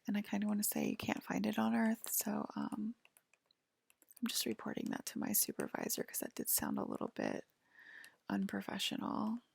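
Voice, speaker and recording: A woman doing a soft-spoken, whispery ASMR role-play as a calm alien guide, talking haltingly and matter-of-factly close to the mic. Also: mid-range voice, dry recording. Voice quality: breathy, whispery. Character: calm alien abductor guiding a patient aboard a UFO.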